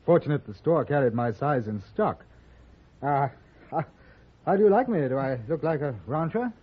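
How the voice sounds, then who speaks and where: Genteel, modest British gentleman for an old radio western; highish, animated voice, muffled vintage recording. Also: moderate pace, breathy edge. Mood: polite, cheerful. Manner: polite, hesitant, genteel. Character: mannered, modest but brave English gentleman in western.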